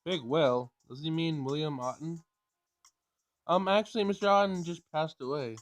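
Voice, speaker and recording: A man hosting a gaming video, casually thinking out loud in a tentative way as he plays and reads. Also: fairly high pitch, normal speed, soft background music. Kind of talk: casual let's play commentary and read-aloud game text.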